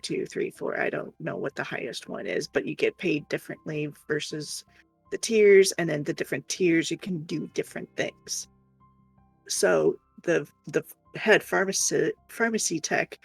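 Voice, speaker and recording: A woman's voice: fairly low pitch, creaky, breathy edge. A woman streamer chatting casually and telling stories in a frank, exasperated, sweary way. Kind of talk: casual storytelling chat. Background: faint music.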